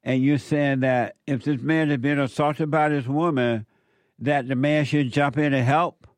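A man's voice: mid-range voice, fairly even intonation, measured pace, dry recording. Blunt male call-in host, dry close sound. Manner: blunt, challenging, short repeated questions. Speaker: radio call-in show host. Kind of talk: call-in debate, probing questions.

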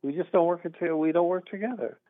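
A man's voice: high-pitched, fast, dry recording. Earnest middle-aged man on a tinny phone line. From Texas. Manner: earnest, emphatic and rambling. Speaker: caller. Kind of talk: call-in radio conversation. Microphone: telephone.

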